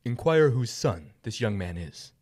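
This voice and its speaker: A solemn, commanding ancient king acted out by a man in a dramatic scripture reading. He speaks very slowly and grandly, stretching his phrases with theatrical weight. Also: lowish voice, sing-song, a little mellow. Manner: stately, drawn-out, theatrical.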